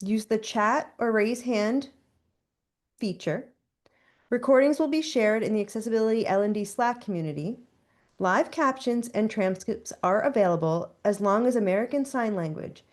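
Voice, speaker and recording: A woman's voice: on the high side, moderate pace, darkish tone. Woman reading a webinar introduction. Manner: reads from a script. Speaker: webinar moderator.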